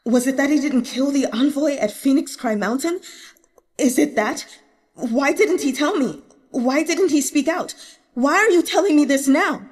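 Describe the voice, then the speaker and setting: A woman voicing an anguished, desperate male general in an audiobook, halting and emotionally intense. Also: high-pitched, big-room sound, pitched above the performer's own voice, close to an everyday voice. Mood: hysterical anguish. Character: anguished male general, common-born, shocked, raw and desperate. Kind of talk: audiobook character acting.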